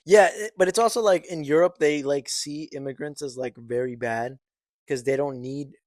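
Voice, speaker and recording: A man's voice: fairly high pitch, normal speed, a little mellow, no echo, clean studio sound. Insistent young man arguing on a podcast. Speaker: podcast co-host. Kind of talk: casual chat.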